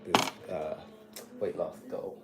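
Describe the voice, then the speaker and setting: A man in his thirties, slightly hoarse, chatting casually as a nutrition coach while cooking in his home kitchen, speaking into a phone at arm's length. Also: low-pitched, takes it slowly, loud music behind the voice. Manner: relaxed, chatty, advice-giving. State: slightly hoarse, recovering from illness. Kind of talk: casual live cooking chat. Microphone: phone camera at arm's length, earbuds.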